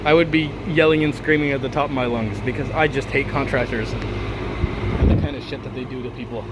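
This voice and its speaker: Hoarse man grumbling outdoors. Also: medium pitch, fairly even intonation, fairly quick, noisy background. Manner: grumbling, ranting about contractors. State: hoarse with a cold. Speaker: video host. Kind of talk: casual outdoor vlog walkthrough. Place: outdoors in a backyard beside an air conditioner.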